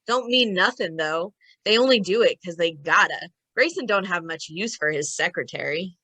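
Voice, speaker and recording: A woman's voice: lowish voice, lively intonation, normal speed, pushed and bright, no echo, rough recording. A woman playing an anxious, loyal gangster sidekick with slangy street talk in an old time radio drama. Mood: skeptical.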